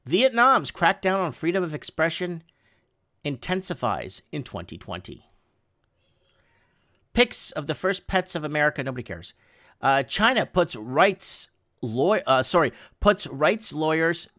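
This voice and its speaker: A man's voice: a little high, bright, forceful tone. Sarcastic man rambling through news headlines. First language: Portuguese. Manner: rambling, sarcastic asides, frequent restarts. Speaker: livestream host. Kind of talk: casual commentary reading news headlines.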